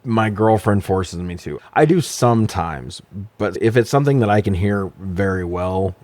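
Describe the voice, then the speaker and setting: A man's voice: lowish voice, lively intonation, moderate pace, a little mellow, no room sound. A man co-hosting a casual film review podcast, chatting and asking trivia in a blunt, informal, profane way. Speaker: podcast co-host. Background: music bed.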